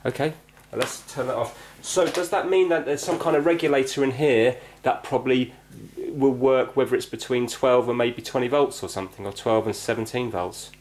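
A man with a mid-pitched voice talks casually through a repair, thinking aloud and asking as he goes. Faint noise and small clicks sit behind him in the room. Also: steady tone, moderate pace, hardly any pauses, darkish tone. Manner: thinking aloud, checking with questions. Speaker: amateur repair video host. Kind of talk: casual hands-on repair commentary. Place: indoors on a wooden floor. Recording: clicky, noisy room recording.

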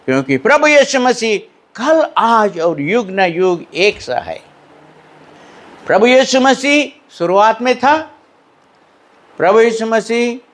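An older preacher from Fiji with a Fiji Hindi accent and a very high voice. He speaks slowly into a handheld mic at the pulpit of a small church hall. He keeps checking that his listeners agree. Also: fairly bright tone. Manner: asks hearers for assent, reads English lines slowly; lifts an arm to gesture while preaching. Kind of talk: sermon.

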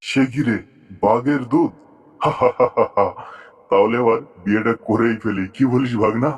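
A man's voice: medium pitch, takes it slowly, breathy edge, highs cut off. A man voicing a boastful, gullible tiger uncle in a cartoon, blustering and impatient. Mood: curious, flattered. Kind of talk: cartoon story dialogue.

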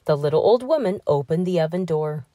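A woman narrating a children's story as an expressive read-aloud host. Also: deep voice, brisk, no room sound, studio quality. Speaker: storybook reader, channel host.

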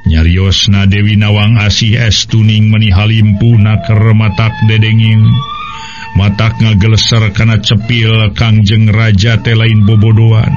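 A man's voice: fairly low pitch, flat delivery, a little slow. A man with a Sundanese accent narrating a radio folktale as storyteller, with chatty asides. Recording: dull lo-fi, music behind. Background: music.

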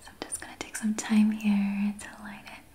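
Female ASMR Reiki host: breathy whisper, soft mellow tone, gentle and soothing, very even delivery. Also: medium pitch. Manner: slow, gentle, soothing guidance. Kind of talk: soft-spoken whispered ASMR guided healing session.

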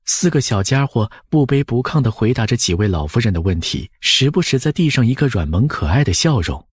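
A man narrating an audiobook as expressive scripted storytelling, with a husky voice. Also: mid-range voice, a little fast, no room sound.

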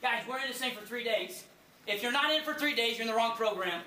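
A man coaching a high school basketball team, giving an emphatic post-game pep talk in a locker room. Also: quick pace. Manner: emphatic, rallying, addresses players as guys. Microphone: camera mic a few meters away. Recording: roomy, distant camcorder, loud noise.

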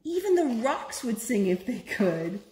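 Higher-voiced woman reading aloud, roomy noisy recording. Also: a little slow. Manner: singsong, expressive reading to small children. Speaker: mother reading a picture book to children. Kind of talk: storytime read-aloud. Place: living room at home, on a sofa.

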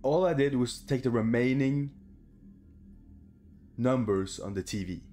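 A man's voice: medium pitch, a little slow, warm tone, faint music behind. A young man giving casual, sweary gameplay commentary in an informal way. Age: young adult. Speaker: gaming video creator. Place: small bedroom, indoors.

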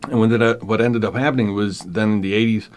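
Low voiced venezuelan accent guy in his 50s, podcast guest, lots of ums and restarts. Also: steady tone, fast talker. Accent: Venezuelan Spanish. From Argentina, raised in Venezuela. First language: Spanish. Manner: thoughtful, many ums and restarts. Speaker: guest, professor. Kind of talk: casual podcast interview.

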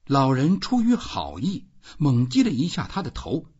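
A man narrating a literary audiobook in an expressive reading style. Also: mid-range voice, normal speed, breathy edge. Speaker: audiobook reader. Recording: dull, muffled lo-fi audio.

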